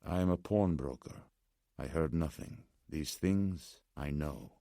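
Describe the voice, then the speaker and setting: A man voicing an anxious, formal old gentleman with a Slavic accent, speaking deliberately as an audiobook character. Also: rumbling low, dry recording, clean studio sound. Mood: grave, insistent. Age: older. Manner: formal, deliberate, agitated but striving to speak calmly.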